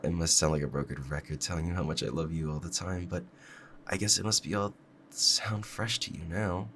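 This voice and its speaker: A man playing a doting, obsessive kitsune husband in an intimate ASMR roleplay, speaking softly and clingily to the listener. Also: low voice, steady tone, a little fast, a little hiss or hum. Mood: adoring, giddy. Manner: soft, clingy, adoring, hesitant.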